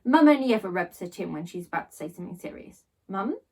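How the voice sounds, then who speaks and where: A woman reading a children's story aloud in an expressive, animated storytelling style. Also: on the low side, fast talker, far from the mic. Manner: animated read-aloud delivery. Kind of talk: expressive storybook narration in first person.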